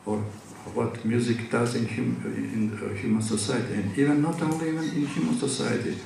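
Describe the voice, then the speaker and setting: A man's voice: medium pitch, fairly even intonation, normal speed, vocal fry, a little mellow, slight background noise. A man from Georgia with a Georgian accent, Georgian his first language, giving an academic lecture at a conference with many hesitations and restarts. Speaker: conference speaker. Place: large conference hall. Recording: echoey hall from far off.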